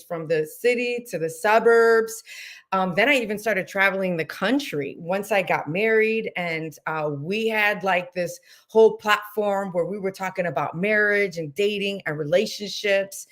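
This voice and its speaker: A woman educator in her thirties, a guest on an interview, telling her story in a casual, reflective way with many fillers. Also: medium pitch.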